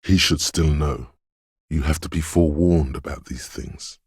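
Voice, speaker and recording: A man's voice: measured pace, no echo, clean studio sound, exaggerated voice. A man voicing a dreamy, mystical inner voice of intuition in a video game, narrating in a brooding, theatrical and intimate way. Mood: cryptic. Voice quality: very deep, gravelly, husky. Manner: brooding, theatrical, addressing the listener as you.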